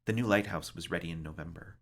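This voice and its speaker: A man reading a somber story aloud as a librarian, with theatrical, deliberate phrasing. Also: deep voice, a bit bright. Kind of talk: dramatic storybook narration.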